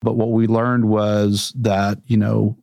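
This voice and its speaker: A man's voice: on the low side, little pitch movement, slow pace, creaky, a little mellow, no echo, studio quality. A man hosting a podcast interview, asking questions in an upbeat, conversational way. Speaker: podcast host.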